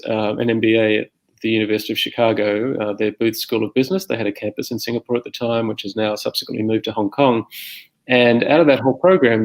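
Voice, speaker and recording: A middle-aged Australian man with a low, gravelly voice hosts an informal conversation. His intonation stays very flat and he rambles along in an easy, unscripted way, as a podcast host introducing things. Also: low-quality recording. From Armidale, New South Wales, Australia.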